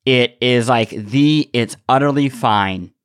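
A man in loose, casual podcast conversation. His voice sits at an ordinary middle pitch. He rambles through stories as a host, doubling back on his words as he goes. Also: slow, smooth. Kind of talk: casual chat.

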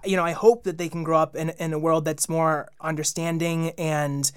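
Man, young adult, podcast guest, slightly high voice, earnest, stammers, dry sound. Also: level tone, normal speed, clear, resonant tone, dry recording. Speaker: podcast guest, children's podcast host. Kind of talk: casual interview chat.

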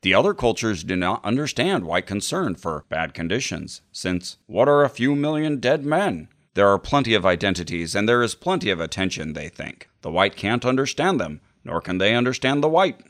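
A man co-hosting a podcast, reading a book aloud dramatically in a deliberate, mock-serious way. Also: lowish voice, big pitch swings, a bit bright. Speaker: podcast host. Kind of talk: dramatic read-aloud of a book with casual asides.

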